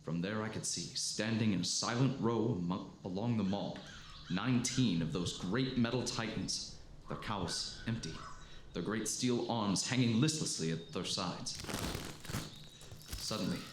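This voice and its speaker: A man performs a solemn lone survivor, a learned professor, telling his tale in a vintage radio drama. His voice is on the low side and his delivery is grave and literary. The room rings with echo over faint noise. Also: close to an everyday voice. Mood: awed. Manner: grave, deliberate, literary storytelling. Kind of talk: 1930s radio drama narration, monologue. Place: live performance before an audience in a hall. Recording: echoey live stage, faint noise.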